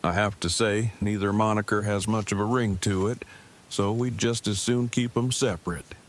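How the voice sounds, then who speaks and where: A man's voice: lowish voice, steady tone, normal speed, a little hiss or hum, close to an everyday voice. A man playing a polite, pedantic man with formal, wordy speech in an audio drama. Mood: measured. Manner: formal, verbose, precise wording. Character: polite, pedantic, formal-spoken man, easily embarrassed game master. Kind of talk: scripted audio drama acting.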